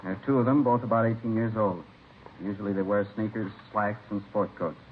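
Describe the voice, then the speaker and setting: Police captain male actor, low, authoritative, methodical, old-time radio drama, narrow muffled sound with light hiss. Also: fairly even intonation, fairly quick, close to an everyday voice. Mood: businesslike. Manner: methodical, orderly, instructing. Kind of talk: 1950s radio crime drama acting.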